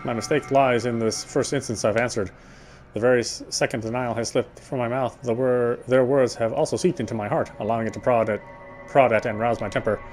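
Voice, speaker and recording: A man's voice: medium pitch, steady tone, a little fast, darkish tone, faint music behind. A man playing a visual novel, reading its narration aloud in a dramatic storytelling style. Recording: faint background noise.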